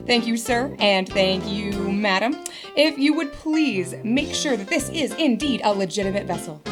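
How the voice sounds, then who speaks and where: A theatrical stage announcer and magician's wife, played by a woman in an audio drama. Her voice is fairly high, formal and dramatic, with music clearly behind it. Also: lightly acted. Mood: polished, welcoming. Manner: formal, dramatic address to an audience.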